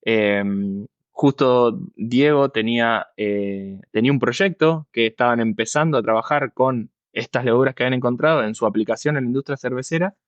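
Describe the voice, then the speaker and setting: A man's voice: mid-range voice, moderate pace, no echo, dull audio. A man in his thirties with a Buenos Aires accent, telling his story conversationally as an interview guest. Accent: Buenos Aires Argentine. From Buenos Aires, Argentina. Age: 30s. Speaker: guest expert, yeast scientist.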